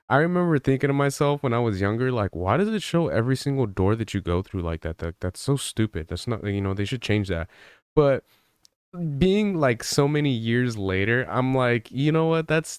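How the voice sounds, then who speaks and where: A man giving casual gaming news commentary in a conversational, rambling way, softening his opinions as he goes. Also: medium pitch, smooth, no room sound, clean studio sound.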